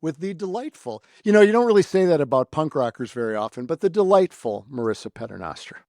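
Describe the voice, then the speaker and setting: A man's voice: a little high, big pitch swings, fast talker, a little mellow, no room sound, studio quality, faint music behind. An American man hosting a casual podcast interview in a chatty, informal, effusive way. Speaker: podcast host.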